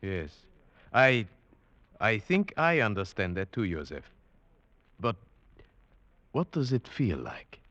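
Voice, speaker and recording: An actor playing a calm, analytical investigator, speaking in a measured, thoughtful way in 1940s radio drama style. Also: male voice, lowish voice, dry recording, full character voice. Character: calm, analytical male investigator narrating his tale. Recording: dull vintage radio recording with music.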